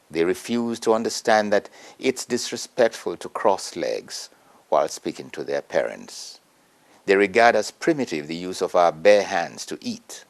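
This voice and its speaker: A man reading a prepared essay aloud in a measured, earnest way. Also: low-pitched. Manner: measured, earnest oratory with emphatic rises.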